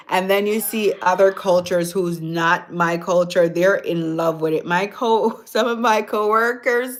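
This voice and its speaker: A Jamaican woman hosting a casual livestream, chatting with viewers, answering their comments and laughing often. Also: lowish voice. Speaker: livestream host. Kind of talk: casual live-stream chat with viewers.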